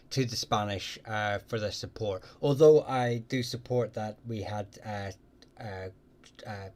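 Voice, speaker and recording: Hesitant man hosting a live history chat. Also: lowish voice. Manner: halting, many fillers and restarts. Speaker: livestream host answering viewer questions.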